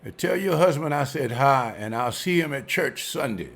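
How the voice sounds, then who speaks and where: A man's voice: medium pitch, normal speed, gravelly. A man reading the part of a genial, chatty businessman in an amateur table read. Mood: friendly. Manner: folksy, chatty, lively. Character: genial older businessman, banker.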